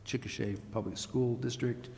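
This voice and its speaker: A man on city staff answering questions at a council meeting, halting and full of fillers. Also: mid-range voice, steady tone, creaky, a little mellow. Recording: reverberant hall, faint noise.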